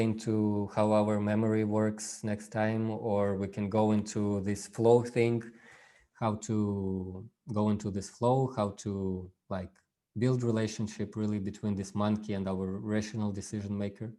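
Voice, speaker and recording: A man whose first language is Russian gives an informal workshop over a video call, speaking haltingly with many fillers and restarts. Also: lowish voice, warm tone. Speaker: workshop presenter. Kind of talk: informal online workshop talk.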